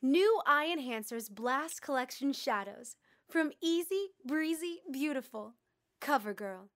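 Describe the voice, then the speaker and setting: A woman's voice: sits high, expressive, measured pace. A woman playing a confident announcer in an upbeat cosmetics commercial read.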